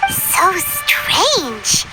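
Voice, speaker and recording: A woman voicing a tiny, excitable childlike fairy in a video game, perky and squeaky. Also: extremely slow, exaggerated voice, poor audio. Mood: curious, puzzled. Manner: perky, expressive. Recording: loud music behind the voice.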